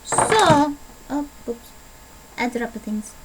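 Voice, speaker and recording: High-pitched young woman faking an Italian accent. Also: expressive, takes it slowly, very noisy. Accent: put-on Italian. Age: young adult. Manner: playful, self-deprecating, rambling. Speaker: vlogger. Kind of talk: casual rambling video diary.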